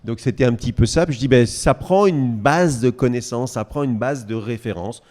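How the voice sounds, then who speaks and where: A man co-hosting a casual podcast chat, speaking haltingly with self-corrections and playful asides. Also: mid-range voice, a little mellow. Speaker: podcast co-host. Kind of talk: casual chat before a live audience.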